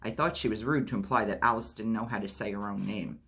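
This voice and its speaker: A man reading a memoir aloud as a storytime host, steady narration with lightly acted dialogue. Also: lowish voice, fast, breathy edge. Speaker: audiobook reader.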